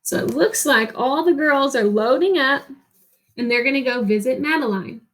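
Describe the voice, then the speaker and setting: High-voiced woman reading to children. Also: fairly bright tone. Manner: addresses young listeners, pauses for them to answer. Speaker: teacher reading a picture book aloud. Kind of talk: expressive children's read-aloud with teaching asides.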